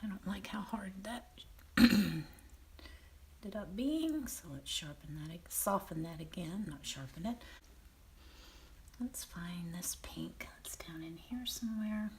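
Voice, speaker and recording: Soft raspy woman talking through painting. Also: mid-range voice, lively intonation, slight background noise. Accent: Southern American. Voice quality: raspy, often half-whispered. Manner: unhurried, thinking aloud while painting, frequent throat clearing. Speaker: painting teacher. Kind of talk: painting demonstration.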